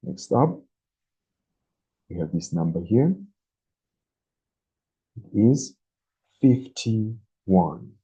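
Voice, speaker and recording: Very slow, deep-toned male English teacher. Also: animated, mellow, slightly rough. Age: 20s. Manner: very slow, deliberate, repeats each number. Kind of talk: beginner English lesson. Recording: dull lo-fi recording.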